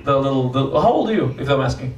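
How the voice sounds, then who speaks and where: Romanian comic doing crude crowd work. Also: male voice, medium pitch, very animated, quick pace, dull audio. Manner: blunt, crude, teasing the audience. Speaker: stand-up comedian hosting open mic. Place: comedy club with live audience. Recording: roomy club sound, distant mic, crowd.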